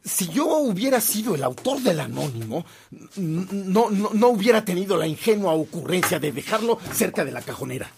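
An actor playing a devout, dignified priest who speaks earnestly and emphatically in a radio drama. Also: male voice, high voice, very animated, normal speed, gravelly, background noise, exaggerated voice. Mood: indignant.

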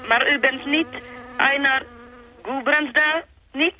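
Radio play phone role: piercingly bright high female voice, halting and matter-of-fact, faint noise behind. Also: heavily acted, poor audio. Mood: hesitant, apologetic. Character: matter-of-fact woman on telephone, slightly hesitant.

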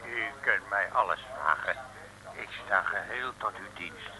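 An actor playing a big, gruff French strongman in an old Dutch radio serial, blustering one moment and floridly pompous the next. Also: deep voice, animated, measured pace, a crowd in the background, low-quality recording. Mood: fawning. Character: big gruff French strongman, rough brawler turned fawning admirer. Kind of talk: early-1960s Dutch radio serial acting. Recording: old radio recording, faint hiss.

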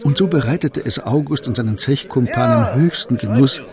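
A man's voice: medium pitch, brisk, a crowd in the background. A man with a standard German accent narrating a scripted radio drama. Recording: music under the voice. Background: music.

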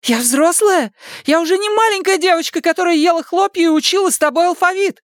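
A woman voicing a rebellious, hysterical nineteen-year-old daughter in a dramatised audiobook, shrill and indignant in her protests. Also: animated, moderate pace, no room sound, studio quality. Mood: indignant, defiant.

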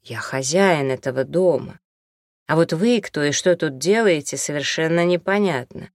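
A woman's voice: sits low, moderate pace, dry recording, clean studio sound. Woman voicing an exasperated man, insistent. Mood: controlled annoyance. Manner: insistent, protesting, polite. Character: exasperated male homeowner, trying to stay civil.

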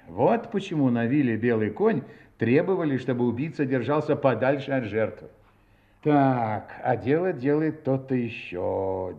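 An actor playing a courteous, methodical inspector in a Russian radio play, gravely mulling things over and repeating phrases. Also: male voice, medium pitch. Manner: ponderous, repeating phrases as he thinks. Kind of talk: audio play dialogue. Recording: muffled, faint music behind.

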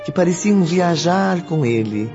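A man's voice: sits high, animated, measured pace. A man acting as the solemn, theatrical narrator of a spiritual radio drama. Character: solemn male storyteller of a spiritual tale. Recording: muffled lo-fi with music behind.

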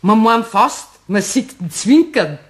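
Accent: Bavarian. Figure: a friendly, chatty neighbor. Pitch: middle, lively. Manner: homely, talkative. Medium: vintage radio play. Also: female voice, slow pace. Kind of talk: 1960s German radio play acting.

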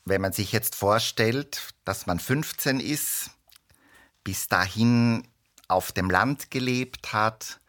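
An actor playing an earnest expert guest in a radio drama interview, explaining with emphatic pauses. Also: male voice, fairly low pitch, fairly bright tone.

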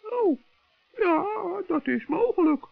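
A man voicing a good-hearted, stubborn little forest gnome in a children's radio play, squeaky, creaky and earnest. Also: very animated, slow, no echo, faint music behind, full character voice. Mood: puzzled, doubtful. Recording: dull, dry vintage radio recording.

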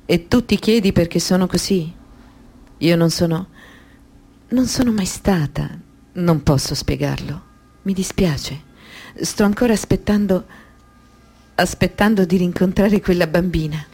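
Low, husky anguished woman. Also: measured pace, long pauses, warm tone. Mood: sorrowful. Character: anguished woman abuse survivor recounting her trauma. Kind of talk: dramatic radio monologue, literary narration.

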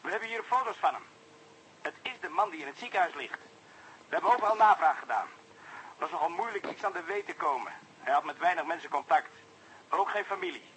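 Young-rank cop on the phone, radio play, quick talker, highish voice, bit of line noise, plain and dutiful. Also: male voice, fairly bright tone, low-quality recording. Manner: matter-of-fact reporting, slightly folksy. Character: eager, plain-spoken junior policeman reporting to his inspector.

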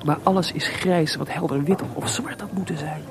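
An actor playing a melancholy, restless composer in a Dutch radio play, hesitant and searching for words, with theatrical delivery. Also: male voice, on the high side, moderate pace, crowd noise, close to an everyday voice. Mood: despondent, searching. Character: Mozart, restless, melancholy composer, proud but adrift.

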